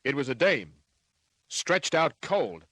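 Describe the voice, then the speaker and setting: An actor playing a wisecracking, hard-boiled private detective in an old radio crime drama, terse and wry. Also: male voice, medium pitch, big pitch swings, takes it slowly, heavy background noise. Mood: world-weary, wry. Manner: terse, wry, clipped phrases. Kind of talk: 1950s radio crime drama narration and acting.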